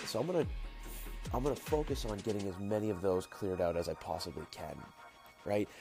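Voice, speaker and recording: A man hosting his daily show, talking casually and encouragingly, a bit stuffy and nasal from his sinuses, speaking slowly to enunciate. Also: on the low side, dark tone. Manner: slow, careful enunciation. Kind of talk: casual motivational monologue.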